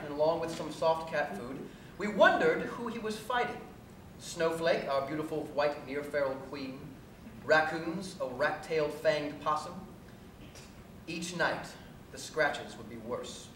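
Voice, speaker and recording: A man performs a story for a live stage reading, recorded at a distance in a very reverberant space with faint noise beneath. His voice sits a little high, and he tells the story in a deliberate, measured way. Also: a bit bright. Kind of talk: live dramatic reading of a first-person story. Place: theatre stage at a live cabaret, recorded from afar.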